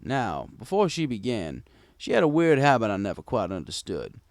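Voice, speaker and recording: A man's voice: on the low side, wildly swooping pitch, normal speed, no room sound, clean studio sound. A man reading a scripted first-person story as a wry, conversational bartender pony. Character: wry, gruff bartender pony telling his tale. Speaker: story narrator.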